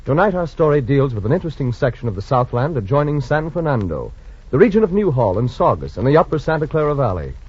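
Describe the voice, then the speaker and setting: A man's voice: mid-range voice, big pitch swings, quick pace, a little mellow, breathy edge. A man narrating a scripted story in a dramatic 1940s radio style. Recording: dull vintage radio recording with music.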